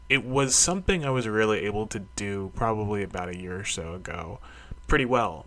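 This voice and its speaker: A man's voice: lowish voice, fairly bright tone. A middle-aged man talking casually in a solo audio diary, reflective and rambling, with a self-deprecating streak. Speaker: karate practitioner keeping a training log.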